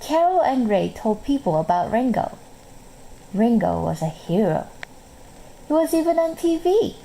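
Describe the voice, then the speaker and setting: Woman storyteller for a picture book: expressive, unhurried, animated pitch, mellow tone. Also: creaky, slight background noise, low-quality recording. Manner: expressive, measured storytelling for young children. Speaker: storyteller reading a children's book aloud. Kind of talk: scripted children's story narration.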